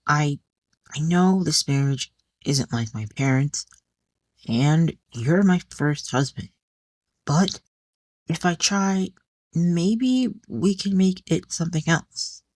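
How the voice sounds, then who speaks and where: A tender, vulnerable wife, acted out by a woman narrator in an audiobook drama. She speaks earnestly and hesitantly, at a slow pace, very dry and close. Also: sits low, lively intonation, darkish tone, no room sound. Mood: hesitant, hopeful. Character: tender, hopeful, unloved young wife.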